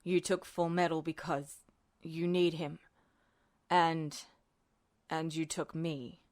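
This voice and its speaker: A woman voicing a quiet, guarded military man in an audiobook story, tense and measured. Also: low voice, level tone, voice pushed down. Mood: grim realization. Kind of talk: audiobook-style character acting.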